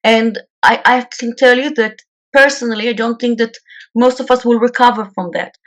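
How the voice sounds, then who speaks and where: A woman's voice: fairly high pitch, steady tone, normal speed. A woman from Israel with an Israeli accent, a peace activist guest speaking bluntly and emphatically in an interview. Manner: blunt and emphatic, repeats words. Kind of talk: interview talk.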